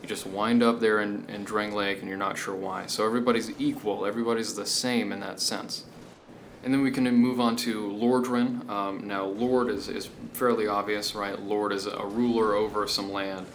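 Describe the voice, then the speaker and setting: Low-voiced man explaining casually over noise. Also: moderate pace. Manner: hesitant, checking in with "right?" and "okay?". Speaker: video essay host. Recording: steady background noise.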